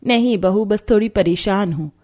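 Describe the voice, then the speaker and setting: A woman's voice: mid-range voice, moderate pace, darkish tone, close to an everyday voice. A woman voicing a worried, humble middle-aged mother-in-law in a family cartoon. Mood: troubled.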